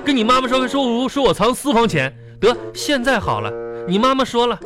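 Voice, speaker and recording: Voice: medium pitch, animated, brisk, over music, lightly acted. An actor playing an exasperated, whiny, henpecked father who stammers and repeats himself in a comedy radio sketch. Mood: indignant, whiny. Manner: stammering, repeating words in indignation.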